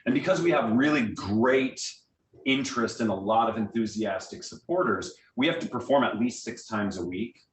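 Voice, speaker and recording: A man's voice: mid-range voice, expressive, normal speed. A man speaking as a guest theater director, explaining things informally and answering audience questions in a conversational, filler-dotted way. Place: meeting room with an audience, also on Zoom. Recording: echoey room, distant microphone.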